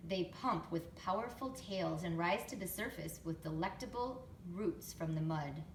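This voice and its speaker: Roomy, low-voiced woman reading a storybook aloud. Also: unhurried. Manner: expressive, explanatory, prompts young listeners with questions. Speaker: storytime reader, teacher to young children. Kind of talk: picture book read-aloud with chatty asides. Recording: roomy, distant mic, faint background noise.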